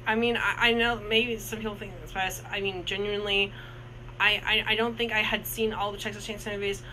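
A man's voice: sits high, flat delivery, brisk, sharp tone, slight background noise, rough recording. A man in his twenties co-hosting a casual movie review, talking in a rambling way with many restarts and fillers. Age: 20s.

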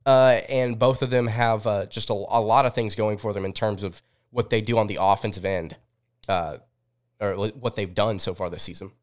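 A man's voice: fairly low pitch, steady tone, moderate pace. A man in his twenties with a cold, hosting a sports podcast in a casual, informal way, thinking aloud into a close desk microphone. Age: 20s. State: sniffly with a cold. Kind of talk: casual live sports recap chat. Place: small indoor home studio.

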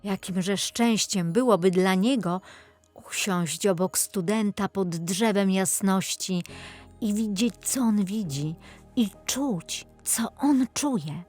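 A woman narrates a children's audiobook fairy tale. She takes her time with each phrase and tells it expressively and dramatically. Her pitch is average, with faint music behind her. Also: slow, darkish tone.

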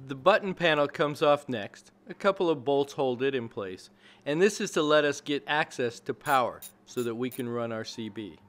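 Male narrator, medium pitch, scripted how-to voiceover, plain step-by-step instruction. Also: animated, normal speed, fairly bright tone, soft background music. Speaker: narrator of a DIY install video.

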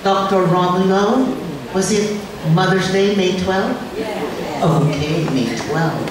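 An older Filipina comic with a Filipino accent, telling jokes in an unhurried, deliberate delivery. Her handheld mic voice echoes heavily through the club, heard from a distance with a loud, laughing crowd and room noise behind her. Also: mid-range voice. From Philippines. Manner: animated storytelling with put-on accents. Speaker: stand-up comedian. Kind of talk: stand-up comedy routine. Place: large nightclub stage.

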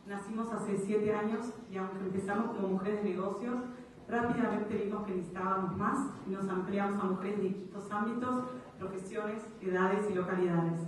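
A young woman from Argentina giving a semi-formal speech into a handheld microphone in a large reception hall, listing items briskly with light asides. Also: medium pitch, darkish tone, recorded from a distance, a little hiss or hum. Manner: quick lists, with light asides. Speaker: event speaker. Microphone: handheld microphone at a podium. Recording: echoey hall, recorded from afar.

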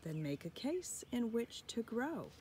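Slow, expressive woman reading to children. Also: on the high side. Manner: expressive, addressing young children, with whispered asides. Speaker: teacher reading a picture book aloud. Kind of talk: children's story read-aloud. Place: outdoors in a front yard under a tree. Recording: outdoor recording with birds and ambient noise.

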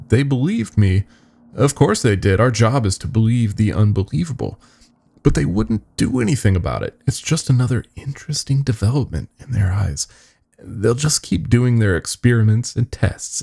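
Man playing a frightened young lab worker, low creaky voice. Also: dark tone. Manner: nervous, urgent, confessional. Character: frightened young male lab worker confessing a horror, foul-mouthed.

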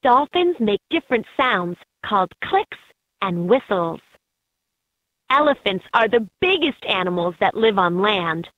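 A woman voicing an encouraging guide in a children's educational game, narrating in a clearly enunciated, instructive way. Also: mid-range voice, very animated, measured pace, dry recording. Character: encouraging female guide in a kids' animal learning game. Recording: muffled lo-fi handheld game audio clips.

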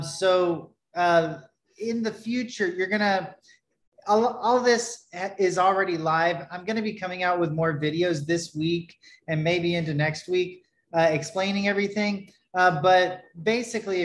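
A man in his thirties giving a relaxed screen-share tutorial on his product, talking loosely with many fillers and restarts from a webcam at his desk. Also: sits high, roomy sound. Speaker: developer presenting his product. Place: small home room, indoors.

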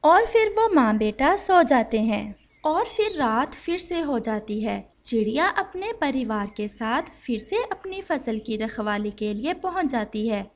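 A woman's voice: fairly high pitch, big pitch swings, measured pace, hardly any pauses. A woman narrating a scripted story for children.

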